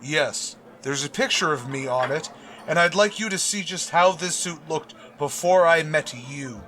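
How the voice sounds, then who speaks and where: A man playing a pompous, long-suffering middle-aged school principal with a florid, sarcastic, deliberate delivery in an old-time radio sitcom style. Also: a little high, close to an everyday voice. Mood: dry, restrained.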